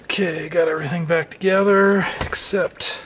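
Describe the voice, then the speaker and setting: A man's voice: a little high, fairly even intonation, moderate pace, a bit bright. A man giving casual, matter-of-fact hands-on narration, thinking aloud as he works inside a car. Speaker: vlogger installing a car CB radio. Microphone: handheld camera microphone. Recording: clear background noise, clicks.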